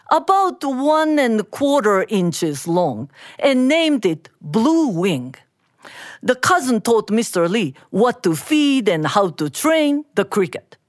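A woman telling a folktale live, in an expressive, deliberate and dramatic manner. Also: mid-range voice, no room sound, studio quality. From Japan. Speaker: storyteller. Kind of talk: live oral storytelling of a folktale.

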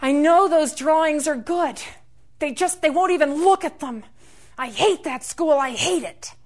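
A woman's voice: high-pitched, unhurried, pitched above the performer's own voice. A woman voicing a hurt, bitter eight-year-old village boy in a children's story, plaintive and emphatic.

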